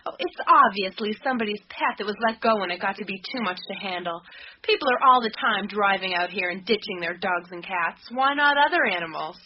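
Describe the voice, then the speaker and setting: A woman's voice: mid-range voice, lively intonation, a little fast, lightly acted. An actress playing a defiant, skeptical young woman in a radio drama, with a blunt, exasperated, sardonic manner. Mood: defiant, dismissive. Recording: muffled radio recording with clicks and hiss.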